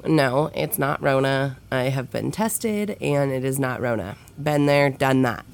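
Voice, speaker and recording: A young woman hosting her podcast, talking frankly and swearing freely, with a nasal, stuffed-up voice from a head cold and sniffles. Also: measured pace. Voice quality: low, gravelly, nasal. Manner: frank and profane, with many fillers. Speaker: podcast host. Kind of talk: candid solo podcast chat.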